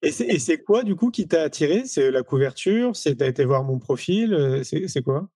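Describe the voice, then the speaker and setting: A man's voice: a little high, lively intonation, moderate pace, dark tone, slightly rough, no echo, studio quality. A man hosting a podcast, chatting informally with readers and asking follow-up questions. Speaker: podcast host interviewing readers. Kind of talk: casual conversational interview.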